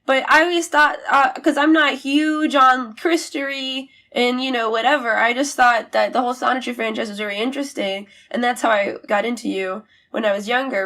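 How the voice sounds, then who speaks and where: Female caller, high register, informal and probing, feeling her way through questions. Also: bright, forceful tone. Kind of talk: casual questioning on a recorded call. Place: phone or online call.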